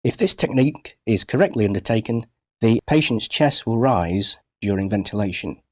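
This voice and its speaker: A man reading scripted instructional narration for a medical training film in a measured, precise, formal manner. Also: lowish voice, lively intonation, no echo, clean studio sound.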